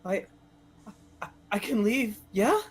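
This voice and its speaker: A woman playing a terrified male captive in a horror script reading, begging haltingly for mercy. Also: medium pitch, lively intonation, slightly rough. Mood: desperate pleading. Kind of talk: live dramatic script reading.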